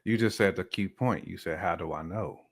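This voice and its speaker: A man's voice: deep voice, moderate pace. A man with an American accent talking casually and colloquially as a podcast co-host, with plenty of slang. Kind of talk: casual conversational discussion.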